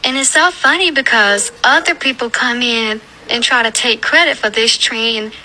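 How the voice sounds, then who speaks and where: A woman's voice: on the high side, sharp tone, slight background noise, poor audio. A woman giving a critical, matter-of-fact opinion in a casual social media video.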